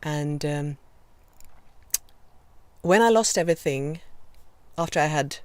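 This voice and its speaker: A woman in her fifties with a Swedish accent, hosting a live video Q&A and gently encouraging her viewers. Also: low-pitched, clean tone, no room sound. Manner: gentle, encouraging, slow and reflective with many pauses. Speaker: host.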